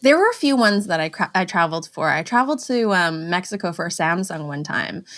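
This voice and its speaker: A young woman from Canada, guesting on a talk show, her voice sitting a little low. She tells stories in a loose, chatty way, full of fillers and quick laughs. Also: animated, a bit bright. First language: Cantonese. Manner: chatty storytelling, laughs often, many fillers. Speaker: actress guest. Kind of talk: casual interview chat.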